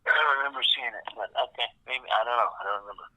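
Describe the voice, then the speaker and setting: A man's voice: mid-range voice, rapid-fire, pushed and bright. A man calling in by phone to co-host a podcast, joking and swearing casually. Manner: joking, profane. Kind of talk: casual chat. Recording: tinny phone line.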